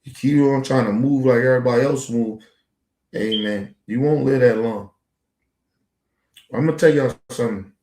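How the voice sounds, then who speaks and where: A man in his forties holds forth as a podcast host, rambling and circling back on himself in profane street slang. His voice sits at an ordinary middle pitch and carries a noticeable echo from the room around him. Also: moderate pace, pauses often, dark tone. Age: 40s. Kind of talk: casual monologue to camera.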